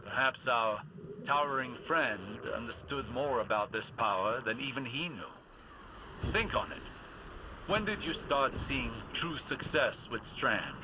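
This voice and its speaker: An actor playing a wise older scholar mentor in a video game, earnest and philosophical, lecturing in formal, measured phrases. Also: male voice, mid-range voice, expressive, close to an everyday voice. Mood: thoughtful, instructive. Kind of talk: scripted video game dialogue. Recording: game audio with vehicle-like noise.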